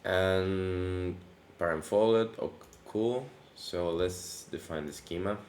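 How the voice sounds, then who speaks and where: A man hosting a casual coding tutorial, his voice deepened by allergies, talking in a relaxed, chatty way with many fillers and restarts. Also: slow. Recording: echoey room with faint background noise.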